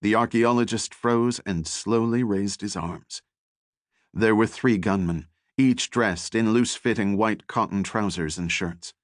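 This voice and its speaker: A man narrating adventure fiction as an audiobook, with a measured, deliberate and dramatic delivery. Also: lowish voice, dry recording, studio quality.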